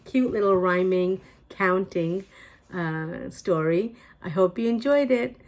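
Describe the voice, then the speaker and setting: A woman's voice: fairly low pitch, slow pace. A woman hosting a children's storytime, reading rhymes aloud expressively with a sing-song delivery and emphasized sound words. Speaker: storytime host reading picture books aloud.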